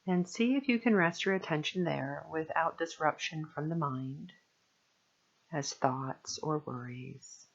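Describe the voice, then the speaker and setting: Low-fidelity recording of a soothing woman guiding meditation. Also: gravelly, slightly rough, dull audio. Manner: soothing, gentle, measured with long pauses. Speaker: meditation and yoga teacher. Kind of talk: guided meditation narration.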